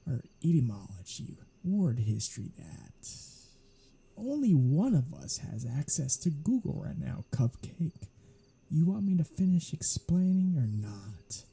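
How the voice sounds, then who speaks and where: Snarky vampire for ASMR roleplay performer acting, very breathy and mellow, unhurried but lively in pitch, casually menacing and teasing. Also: exaggerated voice. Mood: wry explaining, then testy, teasing. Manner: sardonic, casual, swearing, teasing. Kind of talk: soft-spoken ASMR audio roleplay.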